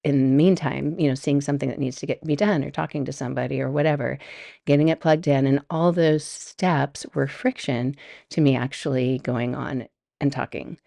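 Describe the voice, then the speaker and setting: A middle-aged woman talks through her solo podcast from home, rambling easily and laughing as she goes. Her voice sits low with a gravelly, mellow edge. The sound is close and dry. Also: normal speed, no room sound. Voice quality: deep voice. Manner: chatty and rambling, laughs often. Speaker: podcast host. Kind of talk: casual solo podcast monologue. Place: home room.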